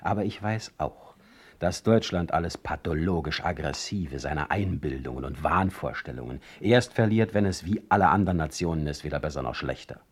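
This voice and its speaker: A man's voice: a bass voice, expressive, normal speed, breathy edge, dry recording, full character voice. An actor playing a proud, ironic, brooding poet in a radio play, a man talking in a rhetorical, theatrical manner. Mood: defiant, ironic. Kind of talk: German radio play acting, letter monologue.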